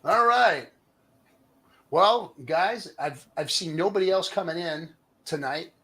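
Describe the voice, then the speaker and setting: A man's voice: sits high, slow, sharp tone, breathy edge. A man hosting a casual livestream, explaining things informally as he takes viewer questions.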